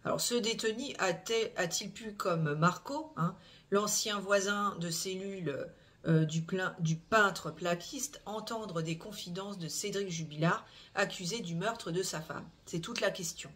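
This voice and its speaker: Halting speaker full of filler sounds. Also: medium pitch, a bit bright. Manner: hesitant, frequent "euh" fillers and restarts; measured, explanatory delivery with tag "hein". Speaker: true-crime channel host. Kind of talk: recounting a criminal case to viewers.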